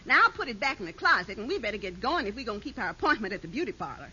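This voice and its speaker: Fast, sharp-voiced wife. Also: female voice, medium pitch, very animated, a little hiss or hum. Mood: self-satisfied. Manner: folksy nonstandard grammar, emphatic. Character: brisk, willful married daughter in a radio sitcom. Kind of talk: 1950s radio comedy acting. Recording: dull 1950s radio recording.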